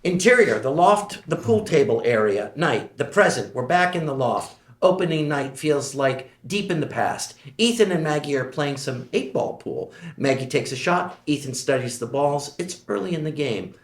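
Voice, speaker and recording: A man's voice: a little high, lively intonation, normal speed, hardly any pauses. A man narrating scene directions from a screenplay at a table read. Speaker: stage directions reader. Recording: echoey room.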